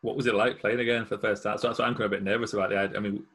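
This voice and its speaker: A man hosting a casual chat with a guest, telling stories quickly and laughing often. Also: on the low side, level tone, a bit bright, breathy edge. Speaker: host; musician.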